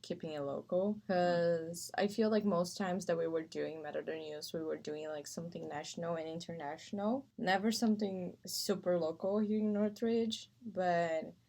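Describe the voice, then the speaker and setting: Gravelly low-voiced woman hosting. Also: fairly even intonation, normal speed, hardly any pauses, warm tone. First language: Portuguese. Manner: chatty, lots of "like". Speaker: podcast host. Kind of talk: casual interview conversation.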